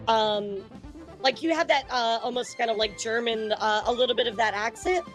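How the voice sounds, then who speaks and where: A woman interviewer with a fairly high voice who hesitates and stacks fillers as she asks her questions. Soft music plays faintly beneath her. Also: normal speed. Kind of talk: casual interview.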